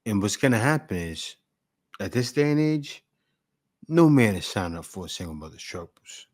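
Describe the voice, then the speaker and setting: A man's voice: fairly low pitch, sing-song, moderate pace. A man hosting a reaction video, who speaks in a blunt, lecturing and emphatic way. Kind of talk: opinionated reaction commentary.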